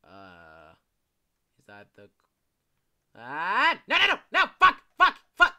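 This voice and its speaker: A young man giving casual, excitable commentary while playing a video game, swearing often and yelling when chased. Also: very high-pitched, slow pace. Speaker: gameplay commentator. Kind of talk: casual live gameplay commentary.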